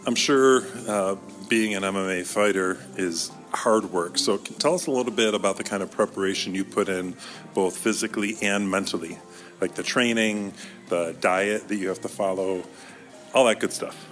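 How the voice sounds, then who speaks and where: A man's voice: on the low side, normal speed, a bit bright, faint music behind. A man hosting a casual interview in a conversational style, putting questions to a guest. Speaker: school podcast host and interviewer.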